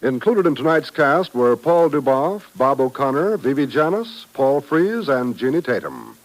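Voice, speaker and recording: A man's voice: mid-range voice, sing-song, normal speed, faint music behind, poor audio. An American man announcing on 1950s network radio, reading scripted promotions and credits in a polished, formal manner. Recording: old radio broadcast recording.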